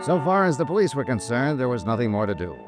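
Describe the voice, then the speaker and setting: An American actor playing a hard-boiled, dutiful insurance investigator in 1950s radio detective style, with crisp, deliberate, wry delivery. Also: male voice, mid-range voice, sing-song, music in the background, lightly acted. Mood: wry, sober. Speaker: radio drama star and narrator.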